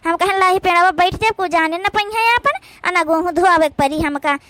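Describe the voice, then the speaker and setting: A woman acts out a work-shy village daughter-in-law in a comedy cartoon. She has a high, sharp voice and an Awadhi accent. She is sly, talking round things with plenty of filler. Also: normal speed, dry recording. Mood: grumbling. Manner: evasive, rambling with filler phrases.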